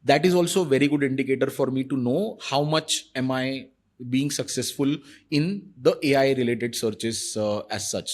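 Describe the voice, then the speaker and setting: Thoughtful man explaining at an easy pace. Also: mid-range voice. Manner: thoughtful, explanatory, frequent fillers like "you know". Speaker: marketing executive guest. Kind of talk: conversational interview answers.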